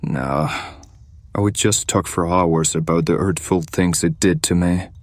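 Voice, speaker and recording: A man's voice: low-pitched, fairly even intonation, a little slow, no echo, clean studio sound. An actor playing a moody, bitter male hireling in an audio drama, speaking in a curt, sardonic, sullen way.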